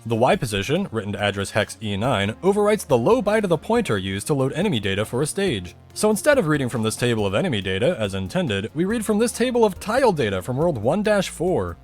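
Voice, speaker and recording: A man narrating a scripted technical explainer in a conversational, methodical way, with casual asides. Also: on the high side.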